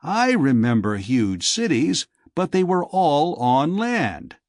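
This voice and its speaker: A man's voice: fairly high pitch, big pitch swings, slow pace, dry recording, studio quality, full character voice. A man voicing a wary male exile in a science fiction audiobook, plain-spoken, questioning and skeptical. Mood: doubtful.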